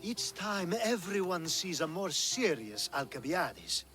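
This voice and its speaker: High-voiced, flamboyant sly schemer. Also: male voice, big pitch swings, slow, pushed and bright, faint music behind, exaggerated voice. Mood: earnest, ambitious. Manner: theatrical, sly, drawling. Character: flamboyant, flirtatious, ambitious male Athenian schemer. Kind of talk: video game cutscene acting.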